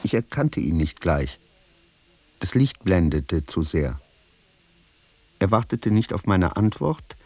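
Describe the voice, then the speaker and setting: An actor playing a reflective man who narrates an uneasy reunion in a literary radio play, measured and sombre. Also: sits low, sing-song, darkish tone, no echo, exaggerated voice. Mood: measured, observant.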